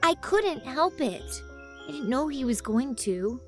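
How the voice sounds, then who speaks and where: High, meek little girl. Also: very animated, unhurried, soft background music, exaggerated voice. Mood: timid protest. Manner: earnest, pleading, reproachful. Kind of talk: audio storybook character acting.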